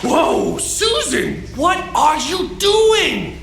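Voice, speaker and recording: A man voicing a principled, pleading male mutant in an animated production. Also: very high voice, drawn-out words, vocal fry, reverberant, music in the background, exaggerated voice. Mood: alarmed, pleading. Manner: earnest, emphatic.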